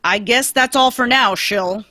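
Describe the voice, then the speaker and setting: A woman's voice: fairly high pitch, a little slow, sharp tone, dry recording. A woman reading a blunt male police detective in a live old-time radio table read, firm and questioning. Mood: stern.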